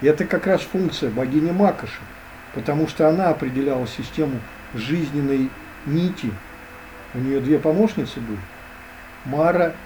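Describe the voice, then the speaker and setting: A man talking as a guest in an interview, hesitant and full of drawn-out fillers. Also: on the high side, mellow, slight background noise, low-quality recording. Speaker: guest expert. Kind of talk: conversational interview.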